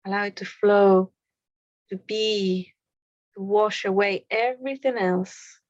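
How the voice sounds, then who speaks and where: A woman leading a guided meditation, speaking softly with long pauses between short phrases. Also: medium pitch, dry recording, muffled recording. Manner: slow, soft, with long pauses.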